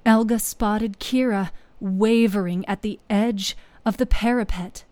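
Slow, mellow woman narrating dramatic fantasy. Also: mid-range voice, steady tone, dry recording. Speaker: narrator and producer of a fiction podcast.

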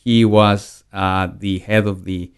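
A man who grew up in Mexico, a guest in a casual interview, speaking haltingly with many restarts and fillers. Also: lowish voice, level tone, clean tone.

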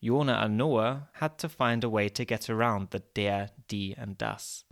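A man hosting a podcast, explaining points clearly in a lightly wry way. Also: fairly low pitch, steady tone, a little slow. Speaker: podcast host.

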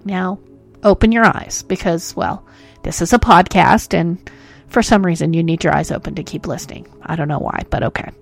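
A woman's voice: fairly low pitch, moderate pace, vocal fry, soft background music. Playful woman hosting a comedy history podcast. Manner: playful and self-mocking, with frequent jokes and laughs. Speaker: podcast host.

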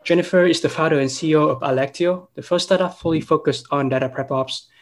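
A man's voice: a little high, fast. A man hosting a podcast interview, reading the guest intro and asking questions padded with "you know". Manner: reads the intro, then asks with "you know" fillers. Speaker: podcast host.

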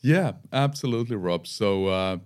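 A middle-aged man with a Finnish accent and a very low voice speaks English as a guest on a podcast interview. He talks reflectively, repeating words and restarting as he goes. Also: animated, normal speed, darkish tone, smooth. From Finland.

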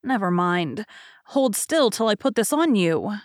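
A woman's voice: medium pitch, very animated, a little slow, no room sound, clean studio sound. A woman voicing a determined, earnest young farm woman in a storybook reading, lifting her voice for the part. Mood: decisive.

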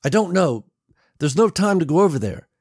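Man acting an anxious, protective dad, raised pitch. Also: big pitch swings, moderate pace, a little mellow, slightly rough, no echo, clean studio sound, heavily acted. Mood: snapping, anguished. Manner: agitated, urgent. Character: anxious, protective suburban father of a young girl.

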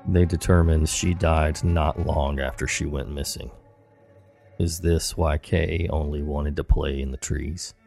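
A man narrating scary stories in a measured, low-key scripted delivery. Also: steady tone, vocal fry, a little mellow, faint music behind. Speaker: horror story narrator.

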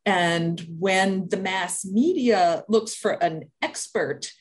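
An academic woman answers at length in a measured, lecturing way. She speaks at a mid-range pitch and builds each point steadily over a video call. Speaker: academic guest. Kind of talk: explanatory interview answer.

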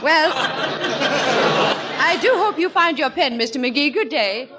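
A woman's voice: sits high, animated, slow pace, over a noisy crowd, very noisy. A woman playing a haughty, genteel society lady in a 1940s radio comedy, formal and lofty. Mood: lofty, gracious.

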